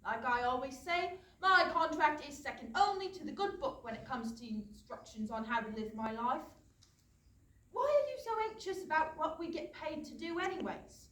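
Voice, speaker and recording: A woman's voice: high voice, big pitch swings, moderate pace, fairly bright tone. A woman playing a cynical, chatty castle guard, teasing and sarcastic, in a staged reading of a comic play. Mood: breezy teasing. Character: flippant, cynical, chatty castle guard who loves birds. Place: studio theatre. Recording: roomy theatre recording, distant mic, noisy.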